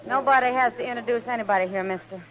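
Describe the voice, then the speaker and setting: An actress playing a tough, unimpressed American saloon woman in a radio western, brisk and self-assured. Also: fairly high pitch, a crowd in the background, close to an everyday voice. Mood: wry, curious. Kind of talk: 1950s radio western acting. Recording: noisy, muffled old radio recording.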